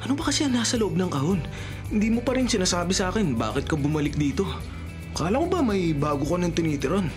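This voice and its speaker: A Filipino man playing a nosy, excitable friend in a radio drama. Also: high voice, quick pace, music in the background. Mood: nosy, dismissive.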